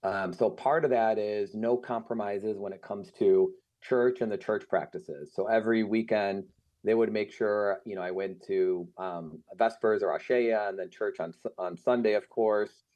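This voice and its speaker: Middle-aged man, lowish voice, dull lo-fi sound, unhurried and thoughtful. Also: steady tone, dark tone. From United States. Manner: thoughtful, many fillers. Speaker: guest. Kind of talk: casual conversation. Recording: muffled lo-fi sound.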